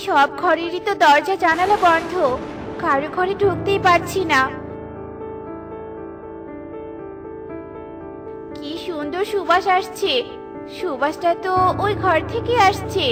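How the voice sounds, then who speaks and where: A woman's voice: very high-pitched, moderate pace, music in the background. A woman voicing a lazy, selfish, greedy female crow in a cartoon, with a brusque, scheming manner. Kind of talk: Bengali cartoon voice acting.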